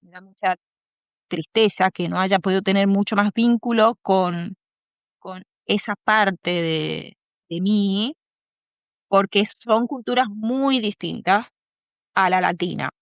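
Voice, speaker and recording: A woman from the Dominican Republic, a guest in an interview, talking thoughtfully and pausing to find her words. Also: medium pitch, no room sound, muffled recording. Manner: thoughtful, pauses to search for words. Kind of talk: conversational interview.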